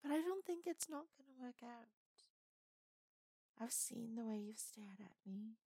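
A woman voicing a flirtatious British woman who teases and seduces a coworker, in a put-on British accent and a sultry manner with soft whispers. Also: a little high, animated, a little slow, breathy edge, dry recording, exaggerated voice. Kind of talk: audio roleplay.

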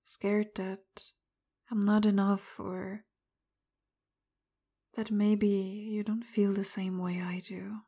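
A woman speaking straight to the listener, first frustrated and confrontational, then soft, apologetic and tender, much of it in a breathy whisper. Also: mid-range voice. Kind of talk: roleplay audio addressed to the listener.

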